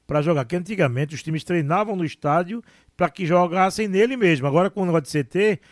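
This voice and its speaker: A man co-hosting a sports talk show, joking and animated in casual banter. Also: high voice, fast talker, no echo, clean studio sound. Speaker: sports radio co-host.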